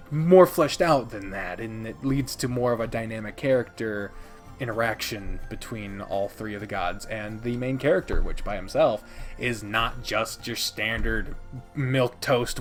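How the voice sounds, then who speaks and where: A man hosting a casual countdown video, talking informally and emphatically with plenty of swearing. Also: on the low side. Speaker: video host, visual novel reviewer. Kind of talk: casual scripted top-ten countdown commentary.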